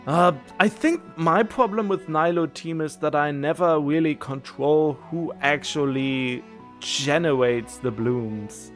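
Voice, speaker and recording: A man's voice: a little high, slow, fairly bright tone, soft background music. A man livestreaming a video game, chatting casually and thinking aloud in a rambling, musing way, often correcting himself.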